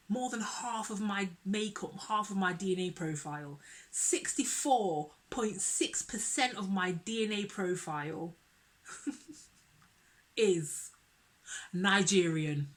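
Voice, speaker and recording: A young woman chats casually to her viewers, her voice sitting at an ordinary mid pitch. She is earnest and animated, circling back over the same phrases to press her point home. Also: slow. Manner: earnest and expressive, repeats herself for emphasis. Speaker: vlogger. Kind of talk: casual vlog talk to camera.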